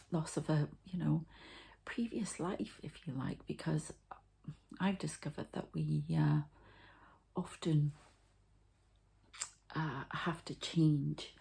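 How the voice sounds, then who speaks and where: A woman hosting a whispered ASMR healing session, speaking in a soft, breathy, hesitant and meandering way. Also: sits low. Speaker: host, spiritual healer.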